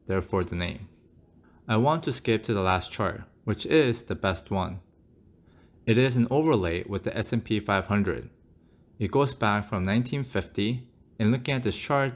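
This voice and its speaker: A man with a fairly low voice narrates a scripted finance commentary. His delivery is steady and explanatory, pausing at times to put direct questions to the viewer. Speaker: finance channel host. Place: voice-over over screen charts.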